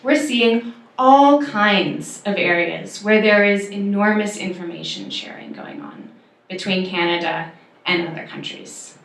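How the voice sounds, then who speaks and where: A woman's voice: mid-range voice, a little slow, vocal fry, fairly bright tone. A woman lawyer giving earnest, emphatic panel remarks, with some fillers. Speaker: civil liberties lawyer on a panel. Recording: echoey room.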